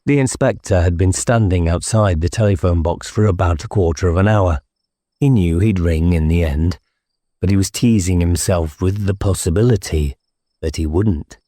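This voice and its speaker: A male audiobook narrator reads horror fiction deliberately and with suspense. His voice is deep, soft and dark in tone. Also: dry recording, studio quality. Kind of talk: scripted dramatic horror narration.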